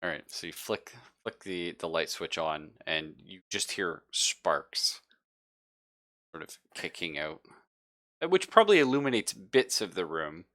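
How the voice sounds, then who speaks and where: A man with a deep voice and a sharp, bright edge. He acts as game master, describing scenes on the fly and chatting casually in between. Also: animated, unhurried. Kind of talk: improvised narration and casual chat in a tabletop game.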